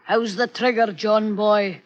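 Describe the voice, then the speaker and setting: An actor in an old-time radio drama playing a murderous mutinous sailor, coaxing, taunting and giving orders. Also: male voice, sits high, steady tone, slow pace, lo-fi sound. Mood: scornful command. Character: murderous, goading mutinous sailor, sly and scornful. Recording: noisy vintage radio recording.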